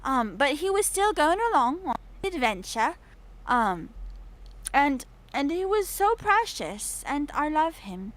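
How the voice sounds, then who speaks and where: A woman's voice: high voice, big pitch swings, measured pace, fairly bright tone. A woman chatting casually on a livestream, effusive and theatrical, putting on a British accent with old-fashioned formal phrasing. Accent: put-on British.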